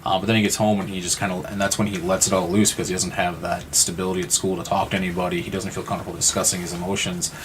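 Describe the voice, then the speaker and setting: A man in a reflective podcast conversation, talking haltingly and repeating words as he thinks aloud. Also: sits low, level tone, noisy background. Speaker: podcast participant.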